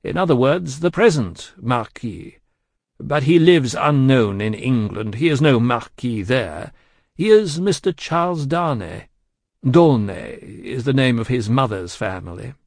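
Man acting a sly genteel spy, lively swooping pitch. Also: a little slow, no echo, clean studio sound. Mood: insinuating. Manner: polite, insinuating. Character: genteel English spy, smooth and slyly insinuating.

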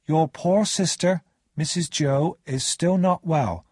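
High, careful young letter-writing woman voiced by a man. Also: slow pace, darkish tone, breathy edge, no echo, clean studio sound. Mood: polite, earnest.

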